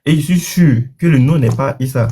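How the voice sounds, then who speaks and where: A man's voice: medium pitch, fairly quick, dark tone, husky. A man who does not speak Arabic, debating religion with cautious, conditional reasoning.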